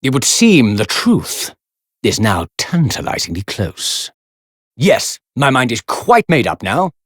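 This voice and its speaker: Casting: grandiose British detective showman for a video game, wildly swooping delivery, husky voice. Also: medium pitch, huge pitch swings, slow pace, dry recording, studio quality, exaggerated voice. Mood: smug. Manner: pompous, grandiose. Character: flamboyant, self-assured British consulting detective, theatrical showman. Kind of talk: theatrical video game voice acting.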